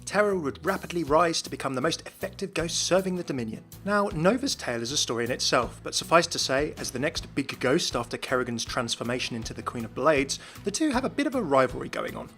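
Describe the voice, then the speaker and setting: A man's voice: on the high side, very animated, quick pace, few pauses, fairly bright tone. Man narrating game lore over music. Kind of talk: explanatory video narration over game clips. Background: background music.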